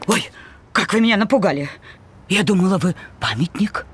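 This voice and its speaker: A woman's voice: medium pitch, expressive, moderate pace, music in the background, close to an everyday voice. A woman voicing a timid, good-natured cartoon cow who speaks politely and gets easily flustered. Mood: flustered, startled. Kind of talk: cartoon voice acting.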